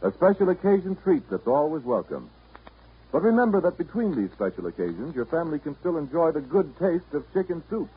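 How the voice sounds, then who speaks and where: A man announcing an advertisement in 1930s radio style. He savors each phrase with very lively intonation, through a narrow, tinny old recording. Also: on the high side, fairly quick, husky. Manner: dramatic, savoring salesmanship.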